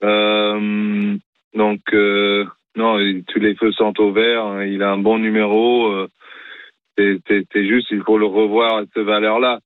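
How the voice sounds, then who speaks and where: A man's voice: lowish voice, dry recording. A man with a British accent, a horse trainer guest talking hesitantly over the phone with many fillers and restarts. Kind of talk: phone interview. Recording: tinny phone line.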